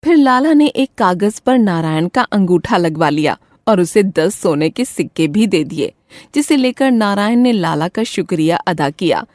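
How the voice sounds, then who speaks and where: Lively, low-pitched woman storyteller. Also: normal speed, no echo, clean studio sound. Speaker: storyteller, narrator. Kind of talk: scripted children's moral-story narration.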